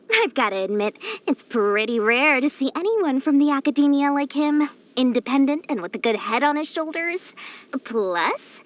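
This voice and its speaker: A pint-sized, money-hungry female merchant from a video game. Her voice sits very high and swings up and down with theatrical flair. She boasts and wheedles like a born schemer, smugly cheerful in every sales pitch. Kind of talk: video game character voice lines.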